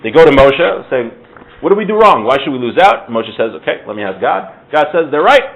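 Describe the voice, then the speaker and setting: A man's voice: on the high side, sing-song, a little fast, muffled recording. A man giving an informal religious lesson in a conversational, explanatory way, as a teacher reading verses and translating them. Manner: conversational, explanatory, with rhetorical questions and self-corrections. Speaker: teacher giving a Torah class.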